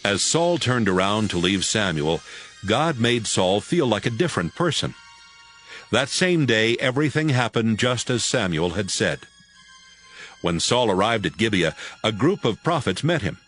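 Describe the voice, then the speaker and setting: A man narrating a dramatized audio Bible in a measured, expressive storytelling manner. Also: fairly low pitch. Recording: faint music behind the voice.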